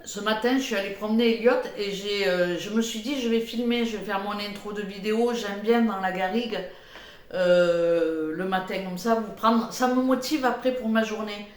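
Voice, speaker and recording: Older woman, head cold, chatty, rambling, middle pitch, echoey room. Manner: rambling, chatty, many filler pauses. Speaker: cooking vlogger. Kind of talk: casual chatty vlog monologue.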